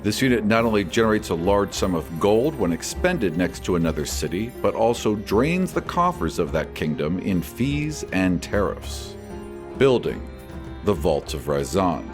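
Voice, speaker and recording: Male podcast host, fairly low and very expressive, reading mailbag questions and prepared explanations over soft background music. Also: normal speed. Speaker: podcast host and game master answering fan questions. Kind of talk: reading fan questions and scripted answers.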